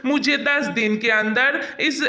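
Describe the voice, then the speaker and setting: Ambitious male doctor, acted by a man: very high, piercingly bright, insistent cartoon delivery. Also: slow pace, heavily acted. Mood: decisive. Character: ambitious, impatient male doctor, dismissive of ghosts. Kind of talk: cartoon story dialogue. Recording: roomy echo.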